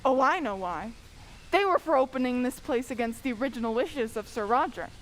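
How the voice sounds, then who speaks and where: A woman playing a nervous, excitable young-sounding male helper who scares easily, in an old-time radio drama. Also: fairly high pitch, a little fast, poor audio. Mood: nervous, uneasy. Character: jittery, frightened male hall helper.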